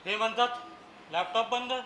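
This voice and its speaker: A man's voice: extremely high pitch, a little slow, pushed and bright, full character voice. A man acting a joking, hapless husband and father on stage, speaking emphatically and mixing in English phrases. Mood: joking dismay. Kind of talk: theatrical comic stage acting. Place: theatre stage in an auditorium. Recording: echoey auditorium with faint noise.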